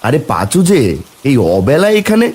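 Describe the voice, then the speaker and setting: A man's voice: on the high side, sing-song, normal speed, a little mellow, a little hiss or hum. A man voicing a worried, caring village husband in a cartoon, with a Bengali accent and colloquial, exclaiming speech. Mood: concerned. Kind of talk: cartoon dialogue acting.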